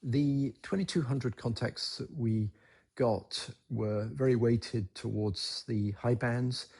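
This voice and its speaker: A man giving an unscripted, matter-of-fact recap as a ham radio operator, in measured phrases. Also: mid-range voice, creaky, darkish tone, husky. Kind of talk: unscripted explanatory recap to camera.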